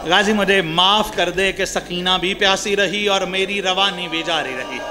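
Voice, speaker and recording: A man's voice: high-pitched, noisy background. A man giving an impassioned mourning sermon, often turning to his listeners with rhetorical questions. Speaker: religious mourning orator.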